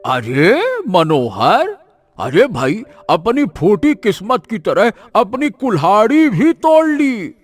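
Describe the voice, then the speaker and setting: A man plays a mocking village headman in a cartoon tale. His voice is high and slow, drawling with false pity over faint music. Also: huge pitch swings, full character voice. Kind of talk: animated moral story.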